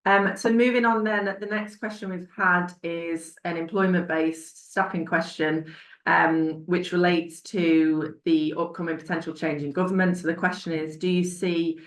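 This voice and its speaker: A woman hosts a video call Q&A, her voice sitting on the lower side. The audio sounds roomy, with noticeable reflections. She introduces each question and passes it to a colleague, filling pauses with friendly ums. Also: fairly even intonation. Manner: friendly, hesitant fillers. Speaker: webinar host. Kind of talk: hosting a video Q&A.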